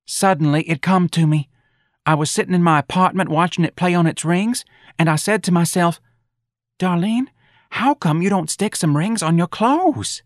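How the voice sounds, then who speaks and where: A man's voice: high-pitched, moderate pace, dry recording, clean studio sound, pitched above the performer's own voice, natural voice. A man voicing an eager, naive young showgirl in an audiobook. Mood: enthusiastic. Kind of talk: audiobook character dialogue.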